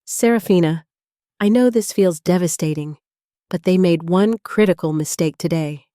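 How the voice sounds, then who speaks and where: A woman's voice: lowish voice, moderate pace, warm tone, no echo, clean studio sound, natural voice. A woman voicing a grim, determined female ally giving firm reassurance in a narrated story.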